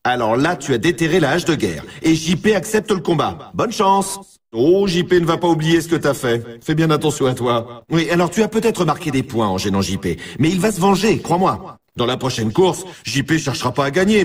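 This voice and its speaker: A man playing a hyped-up, brash and teasing announcer in a snowboard racing video game, with a husky, rough-edged voice. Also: on the high side, big pitch swings, a little fast, recorded from a distance. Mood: teasing warning.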